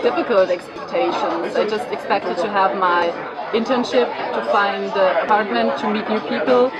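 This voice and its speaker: A woman's voice: medium pitch, fairly even intonation, moderate pace, lo-fi sound. A woman from Germany, answering eagerly and repeating words as she talks. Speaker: intern. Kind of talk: interview answers. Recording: busy public hall with a loud crowd.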